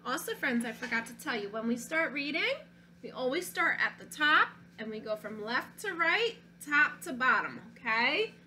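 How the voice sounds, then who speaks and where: Bright, lively woman teacher reading to kids. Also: high-pitched, moderate pace, a little hiss or hum. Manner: gentle, asks children questions, sings a short song. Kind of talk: reading a storybook aloud to young children.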